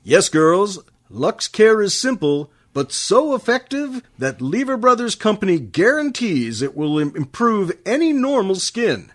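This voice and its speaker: A man delivers a vintage radio soap-ad style pitch as announcer. His voice is fairly high and animated, at a very slow, deliberate pace. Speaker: commercial announcer. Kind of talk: 1940s radio commercial pitch.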